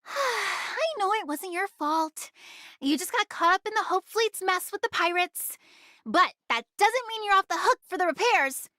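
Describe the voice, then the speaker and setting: Very high, feisty anime girl smuggler. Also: lively intonation, unhurried, sharp tone, no room sound, studio quality, heavily acted. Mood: frank, bossy. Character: feisty, girlish young female treasure-keeping smuggler, proud but caring. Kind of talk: anime video game voice acting.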